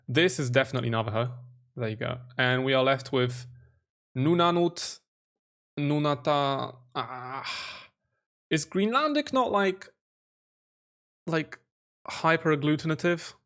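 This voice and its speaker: A man's voice: medium pitch, fairly bright tone. Man musing through a language quiz. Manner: muses aloud, spells out letters, hesitant guesses. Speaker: quiz channel host. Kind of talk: casual think-aloud quiz commentary.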